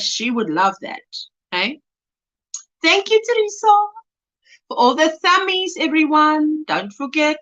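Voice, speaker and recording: A woman's voice: high voice, fairly bright tone, lo-fi sound. A South African woman with an Afrikaans accent, hosting a casual crochet livestream at home, chatty and laughing often as she reads viewer comments. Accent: Afrikaans-accented South African English. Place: indoors at home by a window.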